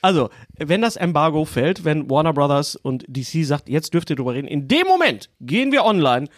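A man's voice: fairly high pitch, big pitch swings, brisk, no room sound, clean studio sound. A man hosting a casual film podcast, chatting in a jokey, digressive way and often restarting his phrases. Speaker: podcast host. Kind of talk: casual banter and film chat.

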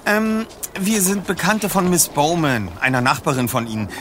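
A man's voice: a little high, big pitch swings, normal speed, a bit bright, a little hiss or hum. An actor playing a polite, self-assured teenage boy detective in a German audio drama, speaking formally and precisely.

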